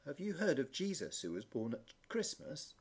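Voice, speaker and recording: A man voicing a kindly old shoemaker in a storybook, gentle and soft-spoken. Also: fairly high pitch, big pitch swings, normal speed, husky, exaggerated voice. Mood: hopeful. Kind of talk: storybook dialogue reading.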